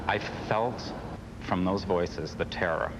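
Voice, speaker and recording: Male interviewee, deep voice, hesitant earnest recollection, clear background noise. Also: slow. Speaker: air traffic controller being interviewed. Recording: clearly noisy interview audio.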